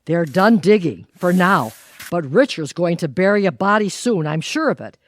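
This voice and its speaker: A woman's voice: fairly low pitch, animated, normal speed, natural voice. A woman playing a nosy, gossipy neighbour wife in an audio comedy, insistent and conspiratorial. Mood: suspicious. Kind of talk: audio theatre comedy acting.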